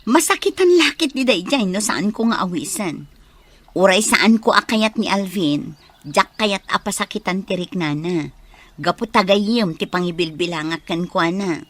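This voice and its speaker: A woman's voice: medium pitch, animated, a little slow, fairly bright tone, no echo. An actress playing a lovestruck young woman in an Ilocano radio drama, speaking with an Ilocano accent. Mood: earnest, pleading. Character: lovestruck young woman hosting her birthday drinking party.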